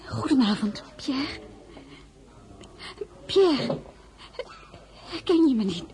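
A high-voiced, high-born married lady, determined and used to giving orders. She speaks insistently in period radio drama style. The recording carries clear background noise. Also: big pitch swings, extremely slow, exaggerated voice. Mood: urgent, tender. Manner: insistent, commanding.

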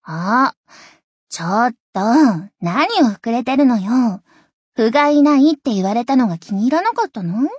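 A woman's voice: on the high side, expressive, unhurried, darkish tone, breathy edge, dry recording, muffled recording. A woman voice acting a self-assured teenage big sister in an anime game, scolding and teasing yet doting. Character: bossy, teasing teenage big sister, swim club captain.

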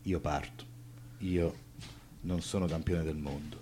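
A man's voice: extremely low pitch, fairly even intonation, measured pace, mellow. An actor playing a guarded, resigned man in a stage drama, heard in a distant, noisy recording.

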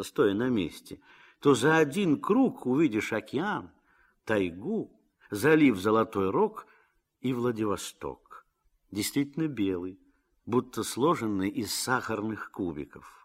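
A man narrating a literary radio drama as a gently ironic storyteller. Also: medium pitch. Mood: calm, descriptive.

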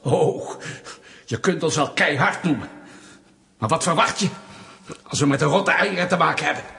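An actor playing a patronizing, sardonic Soviet official in a radio drama, mock-polite and bullying. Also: male voice, on the high side, moderate pace, gravelly, pushed and bright, slightly rough, exaggerated voice. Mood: contemptuous, ranting. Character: menacing, condescending male Soviet state official, bureaucratic bully.

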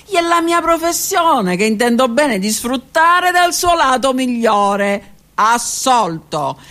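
A woman's voice: mid-range voice, a bit bright. A woman voicing a brash male prisoner who wants to be an actor, reciting a poem with big emphatic stresses. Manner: declaiming poetry with emphatic dramatic stresses.

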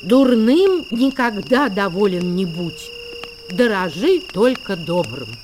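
A woman performing a measured, deliberate dramatic recitation of old proverbs as a radio actress. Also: medium pitch, very animated, noisy background. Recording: music behind the voice. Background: music.

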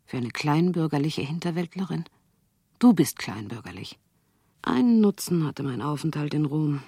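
An actress playing a bitter, scornful woman with a husky, creaky voice and a cutting manner in a radio drama. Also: low-pitched, sing-song, normal speed, warm tone, no echo, studio quality, full character voice. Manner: cutting, reproachful. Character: scornful, bitter person delivering a reproachful letter.

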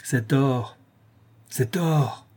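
Casting: male narrator as disguised prince in a storybook, commanding, curt, righteous, dark husky voice. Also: medium pitch, very slow. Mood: stern, commanding. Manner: curt, hard, imperious.

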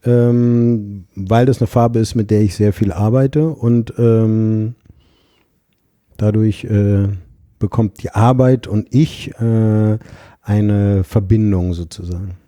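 A man's voice: fairly low pitch, fairly even intonation, slow, mellow, no room sound. A man from Heidelberg, a guest painter and designer, answering hesitantly with many filler sounds and some dry humour. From Heidelberg, Germany. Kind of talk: casual interview. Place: studio.

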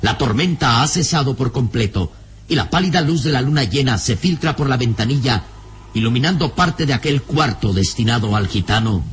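An actor as the storyteller of an adventure radio serial, narrating in a theatrical, suspenseful, carefully enunciated way. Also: male voice, mid-range voice, expressive, normal speed, a little hiss or hum, natural voice. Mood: atmospheric.

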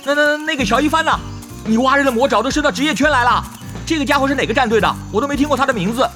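A man acting in an audio drama as a cocky, talkative young gamer who chatters excitably and nags. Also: extremely high pitch, soft background music. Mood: excited, pressing. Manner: chatty, rapid-fire, repeats himself.

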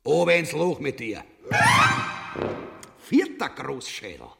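A man's voice: fairly high pitch, wildly swooping pitch, slow, gravelly, soft background music, heavily acted. A man with an Austrian-Bavarian accent playing a crude, greedy, bullying tyrant king in a grotesque radio play, pompous and coarsely abusive. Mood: gruff, contemptuous. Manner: pompous proclamations sliding into coarse insults. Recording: long hall-like reverberation.

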